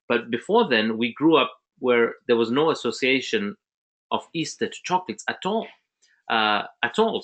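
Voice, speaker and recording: A man's voice: on the low side, fairly bright tone. A man from Zambia hosting an online webinar, talking casually with many restarts and fillers. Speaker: webinar host.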